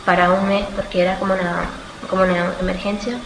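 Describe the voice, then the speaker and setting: A young woman with a fairly low, very even voice, speaking as an interviewee. She feels her way through answers, often stopping to rephrase. The room echoes and there is clear noise behind her. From Australia. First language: Spanish. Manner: halting, searching for words, self-correcting. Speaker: student being interviewed.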